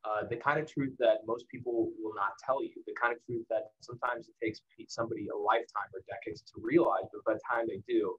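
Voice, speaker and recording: A man's voice: on the low side, fairly even intonation, brisk, hardly any pauses, fairly bright tone, slightly rough. American man, casual motivational talk, dull audio. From El Paso, Texas, United States. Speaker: guest speaker, marketing professional. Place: indoors in the evening, online livestream.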